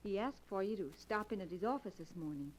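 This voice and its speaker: A woman's voice: medium pitch, expressive, a little fast, slight background noise, natural voice, poor audio. A woman playing a tender, solicitous wife in 1940s radio drama style. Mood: tender, concerned. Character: devoted, gently worried wife of the locksmith. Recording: vintage 1940s radio recording.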